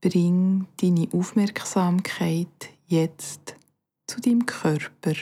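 Slow, low-voiced Bernese woman guiding meditation. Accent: Bernese Swiss German. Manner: calm, soothing, measured with long pauses. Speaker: yoga nidra instructor. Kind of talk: guided meditation.

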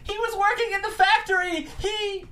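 A woman voicing an enraged, grieving drunk woman in her sixties, yelling accusations in a script reading. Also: steady tone, normal speed. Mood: enraged, anguished. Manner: shrill, yelling, anguished. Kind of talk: live table read of a period drama script.

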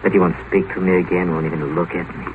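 An actor playing a smug, sardonic doctor and seducer in a 1950s radio drama, suave and mocking. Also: male voice, low voice, flat delivery, fast talker, close to an everyday voice. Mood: smooth, teasing. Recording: tinny, hissy 1950s radio broadcast.

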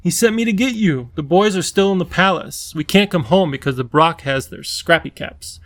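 A man's voice: high-pitched, lively intonation, normal speed, a bit bright, pitched above the performer's own voice. A man voicing a brave, earnest young girl who urges and coaxes in a storybook reading. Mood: urgent, coaxing. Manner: pleading, eager.

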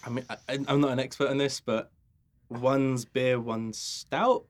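A man's voice: medium pitch, fairly even intonation, a little slow. Laid-back wry man chatting. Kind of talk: casual chat.

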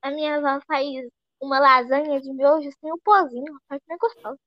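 A young woman with a high voice chats casually on a podcast and rambles from one thought to the next. The recording is dry and close, with a dull, lo-fi sound. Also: steady tone, brisk, dry recording. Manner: chatty, rambling. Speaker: podcast guest. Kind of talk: casual chat.

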